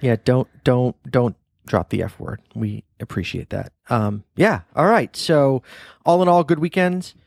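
Middle-aged dad joking around on his podcast, average pitch, dry close sound. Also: a little slow, vocal fry, dry recording. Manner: playful teasing dad, rambling restarts. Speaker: podcast host, father. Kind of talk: casual podcast chat.

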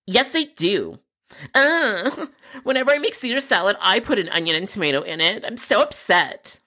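Entitled female food delivery customer, played by the narrator: whiny, indignant, argumentative, pitched up and swooping. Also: expressive, moderate pace, bright, forceful tone. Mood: outraged.

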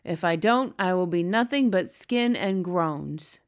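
A woman's voice: on the low side, lively intonation, a little slow. A woman voicing a very old, kindly male giant who talks with mangled grammar and made-up words. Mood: rueful.

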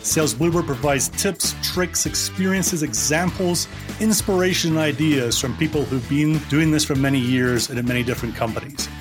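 A man's voice: fairly high pitch, normal speed, over music. Man hosting a podcast. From Scotland. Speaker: podcast host. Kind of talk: casual podcast interview.

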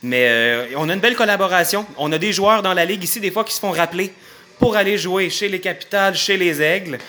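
A man's voice: high voice, brisk, pushed and bright. A man with a Quebec accent giving casual answers as an interview guest who runs a sports club. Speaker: baseball club vice-president, interview guest.

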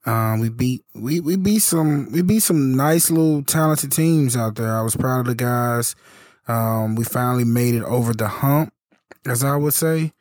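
A man with a hoarse, worn voice talks through a casual solo podcast. His delivery is halting and loose, packed with fillers and restarted sentences. His voice sits at an ordinary middle pitch, recorded close and dry with no room sound. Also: darkish tone, no room sound. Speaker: podcast host and youth basketball coach.

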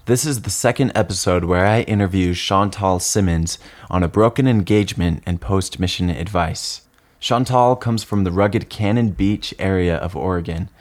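A man hosting a casual podcast interview, upbeat and enthusiastic, sharing one microphone with his guest. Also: deep voice. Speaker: podcast host. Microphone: single shared microphone.

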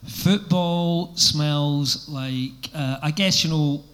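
A man's voice: fairly high pitch, extremely slow, fairly bright tone. A Scottish man in his fifties, a record label boss guesting on a live panel, telling stories in a rambling, casual way. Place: live event venue.

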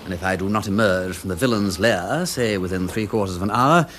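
An actor playing a breezy aristocratic English gentleman detective in a radio drama, with wordy, flowery asides. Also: low-pitched, brisk, slight background noise. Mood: brisk, cheerful. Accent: upper-class English. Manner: breezy, flowery phrasing, drawling rhetorical asides.

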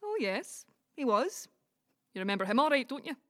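Woman acting chatty sweary scottish lass from dumfries, blunt gossip w a soft side, highish voice, audio drama. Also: big pitch swings, moderate pace. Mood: gossipy, animated. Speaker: community cast actor. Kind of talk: community audio drama, colloquial dialogue.

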